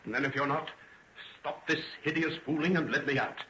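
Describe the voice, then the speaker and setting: A man's voice: on the high side, brisk, sharp tone, a little hiss or hum. Indignant older father, echoey vintage sound. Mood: indignant disbelief. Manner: declamatory, outraged, formal. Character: indignant, righteous older father, defiant under threat. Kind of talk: 1940s radio drama acting. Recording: muffled, echoey old radio recording.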